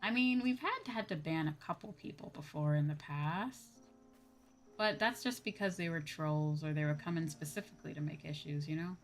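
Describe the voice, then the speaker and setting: A woman's voice: low-pitched, normal speed. A woman livestreamer chatting casually and informally, thinking aloud as she goes. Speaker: livestreamer and VTuber host.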